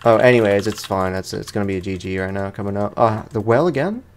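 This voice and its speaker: A young man giving casual, slangy let's play commentary with animated, playfully exasperated reactions, as a gaming YouTuber. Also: fairly low pitch, fast talker, a little hiss or hum. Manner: slangy, informal.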